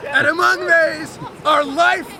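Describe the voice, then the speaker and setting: Guy reading out loud real dramatic, very high gravelly voice, people around him outside. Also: steady tone. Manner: projected, loud, emphatic delivery with deliberate phrasing. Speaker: reader at an outdoor public reading. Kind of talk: dramatic declamatory reading of a historical document. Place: outdoors on a lakeside beach. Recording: outdoor crowd and motorboat noise.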